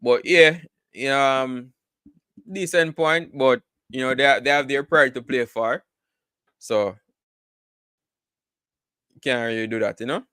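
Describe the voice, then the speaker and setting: A man's voice: mid-range voice, takes it slowly, pauses often, pushed and bright, clear, resonant tone, no echo. A man giving casual live cricket commentary, full of repeated words and "you know" fillers.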